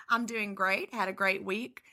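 A woman co-hosting a podcast, a teacher whose voice is slightly hoarse, talking in a friendly, chatty way with some restarts. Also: mid-range voice, steady tone, takes it slowly, bright, forceful tone. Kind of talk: casual podcast chat.

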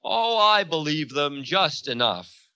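A man's voice: on the high side, sing-song, slow pace, sharp tone, smooth, no echo, pitched above the performer's own voice, exaggerated voice. A man voicing a mocking, fearless demon king who makes scornful, grandiose declarations in a mythological story. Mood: proud.

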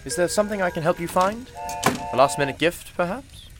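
An actor playing a courteous, old-fashioned shop proprietor in a radio play, speaking with formal, genteel politeness. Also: male voice, high-pitched, lively intonation, fairly quick, music in the background. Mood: genial, courteous. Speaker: shopkeeper.

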